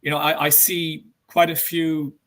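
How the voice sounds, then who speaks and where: A man's voice: fairly high pitch, flat delivery, measured pace, a bit bright. An older man with an Irish accent, speaking as a guest in a casual interview with hesitant, meandering phrasing. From Ireland. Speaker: interview guest, banking executive.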